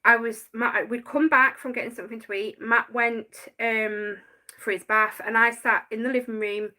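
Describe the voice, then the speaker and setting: A woman's voice: a little high, fairly even intonation, normal speed, pushed and bright. A woman, chatting casually on a live stream about her notes and laughing often. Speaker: paranormal channel host.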